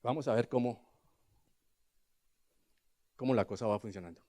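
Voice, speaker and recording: A man in his sixties with a Colombian accent preaching a sermon, telling scripture as a dramatic story and moving between hushed asides and loud, emphatic declarations. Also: mid-range voice. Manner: rhetorical questions to the congregation.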